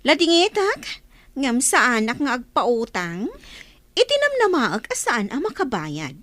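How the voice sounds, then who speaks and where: A woman's voice: sits high, huge pitch swings, a little slow, a bit bright, dry recording, full character voice. A woman with an Ilocano accent playing a haughty, scornful young village woman in a radio drama.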